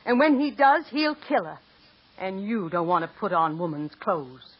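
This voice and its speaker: Stern mother, husky voice, dull old radio sound. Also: medium pitch, big pitch swings, moderate pace. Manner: stern, urgent. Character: determined middle-aged mother, stern. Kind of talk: 1940s radio acting.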